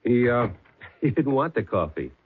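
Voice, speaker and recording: An actor playing a shrewd, terse police sergeant in a 1970s radio mystery, matter-of-fact and methodical. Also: male voice, mid-range voice, very animated, measured pace, slight background noise. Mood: feigned friendliness. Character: shrewd, hard-boiled male police sergeant. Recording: muffled lo-fi 1970s radio recording.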